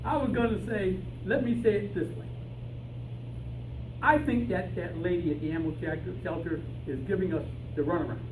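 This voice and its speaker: A man's voice: high voice, brisk, close to an everyday voice. A man playing a boastful, muddled private detective in an old-time radio style comedy. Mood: scheming. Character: bungling, cocky private detective man seeking his canary. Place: live rehearsal in a room with audience. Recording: distant echoey room recording, noisy.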